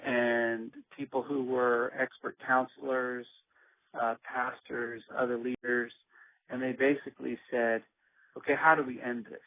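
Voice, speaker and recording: A man speaking earnestly on a conference call, measured and pausing often with ums as he lays out plans for his organization. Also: mid-range voice, level tone, dry recording. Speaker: organization leader. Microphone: phone. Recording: tinny phone line.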